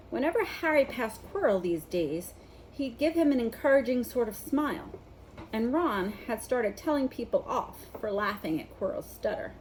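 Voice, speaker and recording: A woman's voice: on the high side, darkish tone, a little hiss or hum, rough recording. A woman narrating a children's novel, reading it aloud as a storybook.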